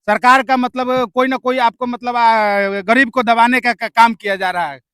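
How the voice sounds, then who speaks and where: A man's voice: very high voice, fairly even intonation, moderate pace, pushed and bright, no echo, studio quality. A man with a Bihari accent doing on-location interviews and commentary to camera, repeating himself and searching for words with fillers. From India. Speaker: field news reporter.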